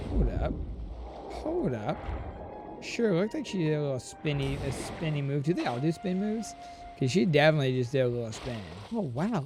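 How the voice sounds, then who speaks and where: A woman's voice: sits low, darkish tone, slight background noise. A woman giving casual live game commentary as a streamer, playful and slangy as she talks to her chat.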